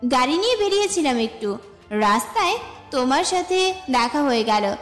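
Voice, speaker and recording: A woman's voice: sits high, very animated, moderate pace, fairly bright tone. A woman playing a friendly, bossy young college student in a romantic audio story. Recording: echoey reverb with music bed. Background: music.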